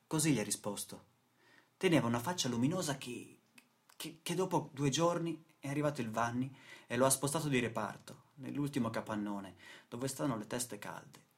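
A man's voice: medium pitch, normal speed, close to an everyday voice. A man acting a weary, indignant factory worker in a dramatic radio play monologue, with stammered repetitions. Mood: bleak, weary. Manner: dramatic, stammering repetitions, swings from muttering to outbursts. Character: weary, indignant male factory worker telling his grim story.

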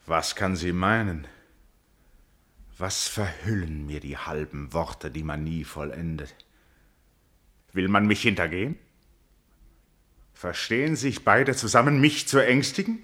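Deep-voiced, suspicious tragic king. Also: unhurried, long pauses, fairly bright tone. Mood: troubled doubt. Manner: grave, declamatory. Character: wrathful, suspicious king and father, stern and troubled. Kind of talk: classical German radio tragedy acting.

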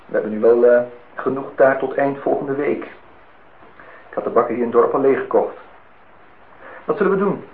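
A man's voice: medium pitch, lively intonation, brisk, pauses often, husky. An actor playing a courting bachelor department head in his thirties in a radio play, formal, teasing and a little hesitant, in intimate dialogue. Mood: eager, courteous. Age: 30s. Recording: tinny, noisy old radio play recording.

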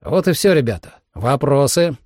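Audiobook role: veteran squad commander, done by a male narrator, deliberate emphatic oratory, rough-edged, animated voice. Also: mid-range voice, dry recording, clean studio sound, pitched above the performer's own voice. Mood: solemn pride. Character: veteran male monster hunter commander, solemn, stirring leader.

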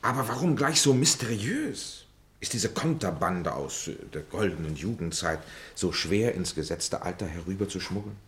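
An actor playing a sceptical, mocking middle-aged former schoolmate, with ironic, emphatic and wordy radio-play delivery. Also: male voice, deep voice, moderate pace, few pauses. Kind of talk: theatrical radio play dialogue.

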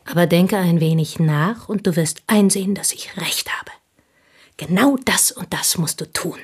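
An actress in a German radio drama playing a possessive, intense village schoolteacher who confides deliberately, often sinking toward a whisper. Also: female voice, lowish voice, slightly rough. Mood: bitter, controlling.

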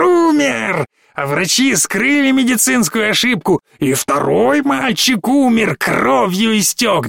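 A man voicing a cruel, mocking possessed little girl in an audiobook, with a shrill, drawn-out taunting voice. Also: gravelly, fairly bright tone, no echo, clean studio sound, heavily acted. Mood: shrieking. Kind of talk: audiobook reading.

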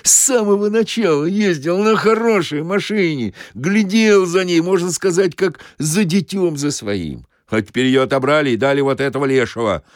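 A man's voice: fairly high pitch, normal speed, few pauses, dry recording, studio quality, pitched above the performer's own voice, lightly acted. A man voicing an aggrieved bus driver in a comic audio story, grumbling and cursing in folksy colloquial speech. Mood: indignant. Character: aggrieved, cursing bus driver, sentimental about his old machine.

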